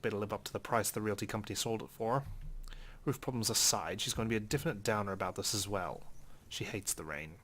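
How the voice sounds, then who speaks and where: A man's voice: on the low side, fast talker, a bit bright. A man reading visual novel text aloud as a let's player, with occasional brief commentary.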